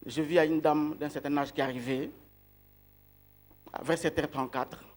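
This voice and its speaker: A man with a fairly high voice preaches a reflective sermon. He speaks slowly, lingers on key words and poses questions to his listeners before answering them himself. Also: steady tone. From outside Belgium.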